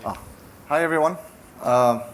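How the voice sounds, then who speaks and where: A man's voice: on the high side, slight background noise, poor audio. Man, Danish accent, middle-aged, founder talk, hesitant restarts. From Denmark. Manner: conversational, halting with many restarts. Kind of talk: conference talk.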